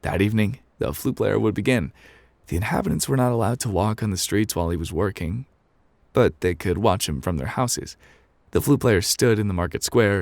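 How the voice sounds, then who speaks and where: A man acting as a gruff male game character reading a bedtime storybook. His voice sits low with a gravelly fry, and it swings expressively between offhand, unbothered lines and dramatic pauses. The sound is close and dry, made for ASMR. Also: a little mellow, dry recording.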